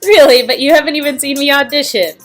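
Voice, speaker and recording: A woman's voice: high voice, fast talker, soft background music, natural voice. A woman playing an earnest, modest young aspiring actress, chatting a little hesitantly with an old friend in comedic dialogue. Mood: teasing, amused. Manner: giggly, hesitant, self-effacing. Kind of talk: improvised comedic dialogue performance.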